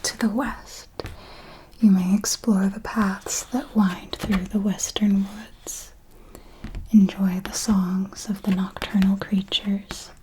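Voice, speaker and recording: A woman playing an elegant vampire mentor in a whispered ASMR roleplay, formal and deliberate, possessive, teasingly menacing and protective, with a breathy delivery. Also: medium pitch, little pitch movement, mellow, slight background noise, close to an everyday voice, poor audio. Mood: protective warning. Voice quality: breathy, mostly unvoiced whisper. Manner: slow, deliberate, formal, with measured pauses.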